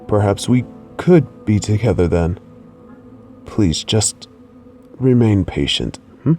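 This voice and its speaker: Man acting a hushed, slow, tender male lover. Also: fairly low pitch, animated. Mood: fond, soothing. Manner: hushed, halting, soothing. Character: tender, guilt-torn male lover soothing a captive. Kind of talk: intimate roleplay audio acting. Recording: music under the voice.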